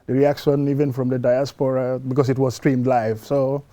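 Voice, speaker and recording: A man with a Gambian accent talking through sports matters in an explanatory, measured, conversational way, as a journalist on a talk show. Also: medium pitch, flat delivery, dark tone, no echo.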